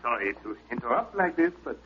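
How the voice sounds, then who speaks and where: A man's voice: mid-range voice, very animated, a little fast, lightly acted. A man acting a fussy, polite, officious gymnasium manager, formal and apologetic, in 1940s radio style. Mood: politely apologetic. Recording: dull, noisy vintage radio recording.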